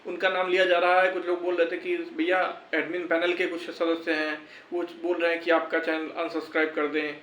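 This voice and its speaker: Young man, high voice, reassuring informal pep talk, echoey recording with faint noise. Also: monotone, moderate pace, hardly any pauses, fairly bright tone. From India. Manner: earnest, reassuring, repeats phrases for emphasis. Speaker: exam-prep vlogger. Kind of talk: informal motivational address to followers.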